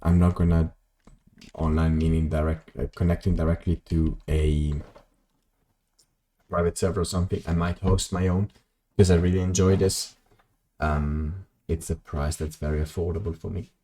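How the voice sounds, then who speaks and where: This is a young man with a very deep, mellow voice. He rambles casually to his stream audience, stumbling through ums and restarts and joking about his own mess. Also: level tone, normal speed. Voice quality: very low voice. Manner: rambling, self-deprecating, many ums and restarts. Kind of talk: casual live-stream chat while gaming.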